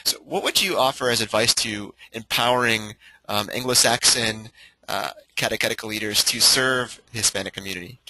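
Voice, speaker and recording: A man's voice: fairly low pitch, moderate pace, sharp tone, no echo, poor audio. A man moderating a church webinar, passing on audience questions and affirming answers.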